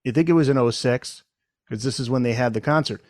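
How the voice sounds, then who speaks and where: A middle-aged man hosts a podcast in a casual, conversational style. His voice sits at an average pitch, and the sound is dry with little room in it. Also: fast, a little mellow, no room sound. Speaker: podcast host, former radio presenter.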